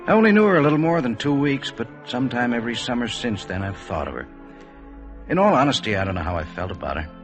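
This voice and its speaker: A man's voice: mid-range voice, very animated, quick pace, lightly acted. An actor playing an earnest, wistful advertising man in a 1950s radio drama, dramatic and introspective but polite. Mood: wistful, confessional. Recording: vintage 1950s radio, music behind.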